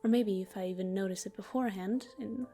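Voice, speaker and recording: A protective female demon, played by a woman, comforts a human in an intimate ASMR roleplay. Her voice is warm and relaxed at a middling pitch, unhurried and soothing with a teasing edge, sometimes dropping to a whisper. Music plays behind her. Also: close to an everyday voice. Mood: caring, reassuring.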